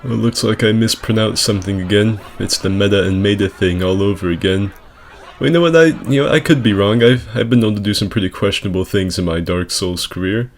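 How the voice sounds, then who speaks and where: A man with a fairly low voice hosts gaming commentary in a casual, comedic register. His delivery is crude and sarcastic, full of exaggeration. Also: brisk, a little hiss or hum. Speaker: gaming video host.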